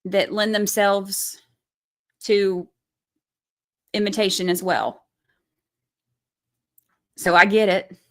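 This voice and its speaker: A woman's voice: medium pitch, steady tone, slow pace, pauses often, fairly bright tone. A woman hosting a casual livestream, reading viewer comments aloud and reacting to them in a chatty way.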